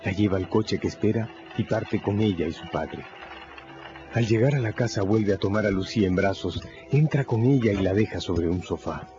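A man with a fairly low voice narrates a radio drama from a script. He tells the story dramatically, pausing deliberately. Music plays behind, and the recording is a touch dull.

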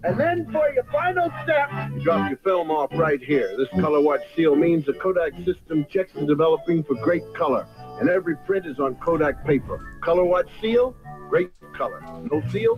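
A man voicing an old TV commercial with a chirpy sales pitch. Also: sing-song, moderate pace, muffled recording. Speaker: commercial announcer. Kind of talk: vintage TV commercial voice-over. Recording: old TV ad audio with music.

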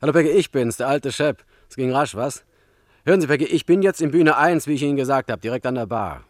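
An actor playing a young, struggling Hollywood screenwriter in a German radio drama, wry, charming and flattering. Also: male voice, mid-range voice, quick pace, close to an everyday voice. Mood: wooing, wry.

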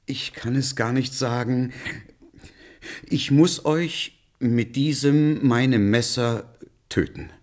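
An actor playing a reluctant, obedient royal huntsman, soft-hearted and fearful, halting and solemn in a radio play. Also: medium pitch, close to an everyday voice. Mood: hesitant, grave. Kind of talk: German radio play, theatrical.

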